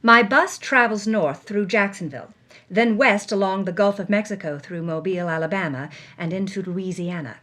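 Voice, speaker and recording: A woman's voice: medium pitch, normal speed, fairly bright tone, lightly acted. An actress playing a defiant, worldly woman on the run, telling her story in a vivid, hard-boiled first-person style. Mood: brooding. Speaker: actress narrating as a character. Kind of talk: dramatic first-person scripted narration.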